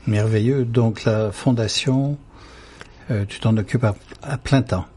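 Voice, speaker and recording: Host: man, mellow and warm, asks brief, encouraging questions. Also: medium pitch, measured pace, slightly rough. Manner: short encouraging prompts. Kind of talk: interview.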